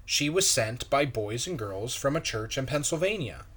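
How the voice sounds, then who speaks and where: A man, a pastor, reading a children's story aloud in a measured, expressive way. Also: mid-range voice, breathy edge.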